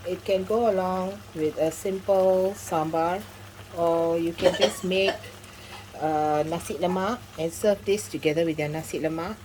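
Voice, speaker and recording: Low-voiced woman cooking in her kitchen. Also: fairly even intonation, unhurried, noisy background. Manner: chatty and instructive, with frequent asides. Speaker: cooking channel host. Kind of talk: home cooking demonstration. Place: home kitchen.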